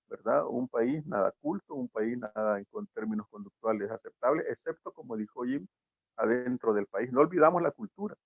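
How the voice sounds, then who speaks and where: Salvadoran man, gravelly and husky, lecturing guest over a phone-thin line. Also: mid-range voice, dry recording. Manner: reflective, lecturing, rhetorical questions. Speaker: guest commentator. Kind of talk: opinion talk on a show. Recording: tinny phone-like line, dry.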